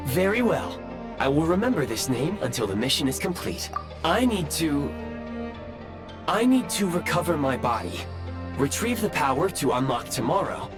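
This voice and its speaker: A man voicing an earnest, formal, newly awakened ancient robot guide in a video game, with precise, dutiful phrasing. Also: on the high side. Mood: earnest, resolute. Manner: formal, precise phrasing with halting self-corrections. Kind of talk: scripted game voice acting. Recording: background music under the voice.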